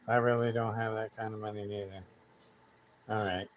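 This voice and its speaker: A man's voice: fairly low pitch, highs cut off. A man hosting a casual livestream demonstration, thinking aloud in a hesitant, rambling, muttering way. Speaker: livestream host, CB radio repairer. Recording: clear background noise.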